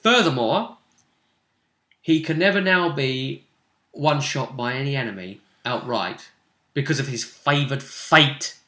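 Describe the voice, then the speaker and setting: A man's voice: mid-range voice, a little slow, bright, forceful tone. A man talking casually as game master of a tabletop role-playing game, chatty and fond of tag questions. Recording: echoey room.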